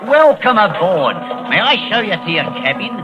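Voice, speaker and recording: Deferential ship's steward in vintage radio drama, animated pitch, muffled period recording. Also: male voice, normal speed. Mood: courteous. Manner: polite, deferential. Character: courteous ship's steward welcoming passengers aboard. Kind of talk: 1940s radio acting.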